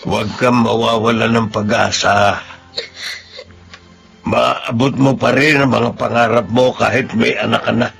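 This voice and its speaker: A man's voice: mid-range voice, fairly even intonation, a little slow, gravelly, a bit bright, faint music behind. An actor playing a kind, steadfast old retired soldier and grandfather in a Filipino radio drama, speaking patiently and earnestly with a Filipino accent. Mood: gentle, consoling.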